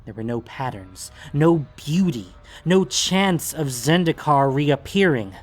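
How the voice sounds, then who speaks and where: A man narrating a scripted fantasy story in a solemn, dramatic way with deliberate phrasing. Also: on the high side, lively intonation. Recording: music bed under the voice. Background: background music.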